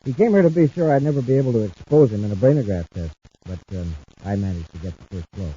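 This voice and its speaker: An actor playing a nervous, hesitant criminal fugitive in an old-time radio adventure. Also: male voice, on the low side, lively intonation, fast talker, warm tone, husky. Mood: wary, boastful. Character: jumpy male criminal fugitive in hiding, nervous, vengeful. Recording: muffled, noisy old radio recording.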